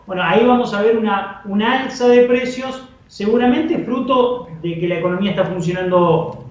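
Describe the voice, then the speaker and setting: Un hombre con acento argentino que comenta la economía como analista invitado, de forma explicativa y repitiendo para enfatizar. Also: male voice, high voice, a little mellow. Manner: explanatory, repeats phrases for emphasis. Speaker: economic analyst guest. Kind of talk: live interview commentary on economics. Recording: muffled, very echoey remote call.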